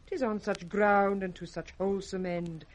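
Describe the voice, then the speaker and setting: An actress playing a king's composed, cold grown daughter in radio Shakespeare, formal and measured. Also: medium pitch, fairly even intonation, close to an everyday voice. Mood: cool reproof. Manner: measured, formal, coolly reasoning. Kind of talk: 1960s British radio Shakespeare acting.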